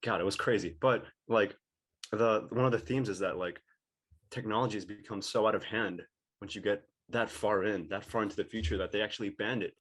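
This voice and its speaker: A man's voice: lowish voice, fairly even intonation, brisk, a bit bright. A young man chatting casually as a panelist, informal and slangy. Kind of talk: casual chat.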